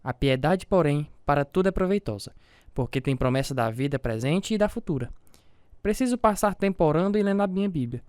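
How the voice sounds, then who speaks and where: A man's voice: on the high side, lively intonation, a little fast, darkish tone, clear, resonant tone, dry recording, clean studio sound, lightly acted. A male actor with a Brazilian accent playing an earnest, devout boxer and young father in a reflective radio drama, telling his own story. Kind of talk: radio drama acting with first-person narration. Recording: guitar music under the voice.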